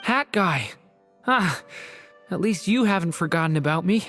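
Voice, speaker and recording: A woman voicing an earnest, gentle young dragon boy in an anime game, sincere and open. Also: on the low side, animated, normal speed, in a large hall, faint music behind. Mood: delighted.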